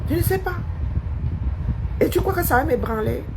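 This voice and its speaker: A woman holding forth on a live stream, scornful and confrontational, peppering a critic with rhetorical questions. Her voice sits somewhat high and leaps around expressively. A faint hum of background noise runs under the recording. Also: animated, normal speed.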